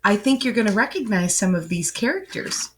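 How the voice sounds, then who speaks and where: A woman's voice: medium pitch, lively intonation, fairly quick, a little hiss or hum. A woman reading a storybook aloud, talking directly to young listeners in a sing-song way. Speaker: storybook reader for children. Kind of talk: warm read-aloud greeting and storytelling to children.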